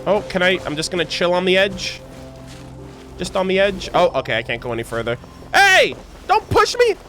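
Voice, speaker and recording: A man's voice: high voice, very animated, normal speed, bright, forceful tone, faint music behind. A man giving casual gameplay commentary as a streamer, slangy, reactive and joking. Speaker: video game streamer and commentator.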